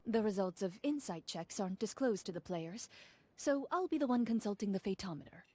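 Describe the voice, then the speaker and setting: An actress playing a young woman who narrates and runs a tabletop game inside a video game, describing scenes in a measured way. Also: mid-range voice, animated, warm tone, a little hiss or hum, natural voice. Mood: narrating.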